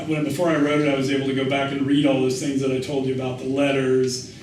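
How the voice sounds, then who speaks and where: A man's voice: mid-range voice, monotone, fast talker, a little mellow. A middle-aged American man, an author and writing teacher, talking informally in a question-and-answer session, anecdotal and often doubling back on his phrases. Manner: conversational, anecdotal, often restarts and repeats phrases for emphasis. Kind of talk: informal spoken Q&A after a reading. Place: indoor reverberant event room. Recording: echoey room, distant mic, faint noise.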